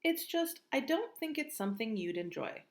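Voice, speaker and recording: A woman's voice: high-pitched, big pitch swings, pitched above the performer's own voice. Woman as a careful, placating mother. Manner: measured and placating. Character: careful, tense mother of two.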